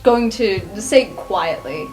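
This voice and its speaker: A woman's voice: medium pitch, lively intonation, slow pace, a little mellow. A woman playing in a tabletop roleplaying game, chatting casually and improvising for her character. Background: music.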